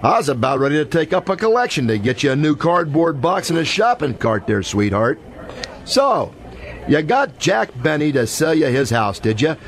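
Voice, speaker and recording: Brash hard-boiled gumshoe played by a male actor, slangy, oblivious wisecracks, very animated delivery for comic radio drama. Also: on the high side, moderate pace. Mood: clueless, flippant. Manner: cocky, slangy, oblivious chatter. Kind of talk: comic old-time radio detective drama acting.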